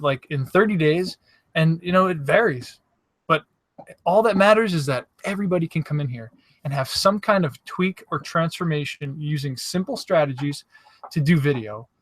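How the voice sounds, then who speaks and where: A man's voice: a little high, no room sound. A man hosting a live show, coaching viewers on making videos in an upbeat, encouraging way and speaking straight to them. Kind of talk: casual motivational coaching talk. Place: campsite.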